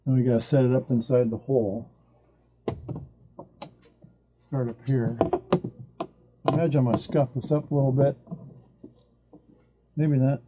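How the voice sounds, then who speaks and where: A man's voice: mid-range voice, steady tone, measured pace, long pauses, mellow, slightly rough. A man thinking aloud as he works through a boat repair, in casual DIY narration. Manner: thinking aloud while working. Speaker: boat owner doing a repair. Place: on a small boat.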